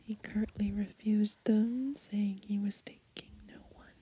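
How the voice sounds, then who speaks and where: A woman whispering softly and slowly in a gentle ASMR style, pausing between short phrases as she reads and browses through a book. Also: mid-range voice, fairly even intonation. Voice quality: breathy, mostly unvoiced whisper. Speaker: ASMR host. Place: outdoors, lying in grass in the countryside.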